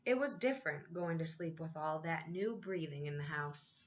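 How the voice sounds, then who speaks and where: Lower-voiced woman reading a picture book, muffled recording. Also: animated, normal speed, a little hiss or hum. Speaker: elementary school teacher reading aloud. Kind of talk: expressive children's picture book read-aloud.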